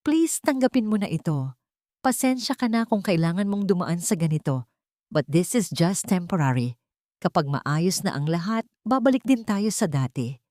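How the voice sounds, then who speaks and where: Placating, apologetic husband for an audio drama , read by a woman: lowish pitch, hesitant, pleading. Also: lively intonation, moderate pace, darkish tone, dry recording, clean studio sound, natural voice.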